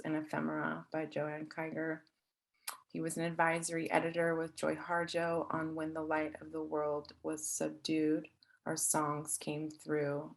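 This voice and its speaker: A woman hosting an event, reading out a prepared, poetic introduction in a measured, deliberate and formal way. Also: low-pitched, creaky, rough recording. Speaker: event host introducing a speaker.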